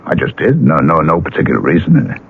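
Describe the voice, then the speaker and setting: An actor playing a down-to-earth struggling painter and old friend in a 1970s radio drama, plain and colloquial. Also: male voice, very deep voice, wildly swooping pitch, brisk, vocal fry, slight background noise, exaggerated voice. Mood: evasive, offhand. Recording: muffled, lo-fi old radio broadcast.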